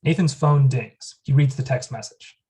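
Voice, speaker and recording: A table-read voice reading out scene headings and actions in a flat, neutral way, at an even middle pitch. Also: normal speed. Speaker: stage-direction reader.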